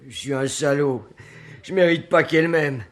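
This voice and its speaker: An actor playing an ashamed young working-class suspect in a French radio play, pleading in halting, colloquial speech. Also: male voice, on the high side, a bit bright, lightly acted. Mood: anguished remorse.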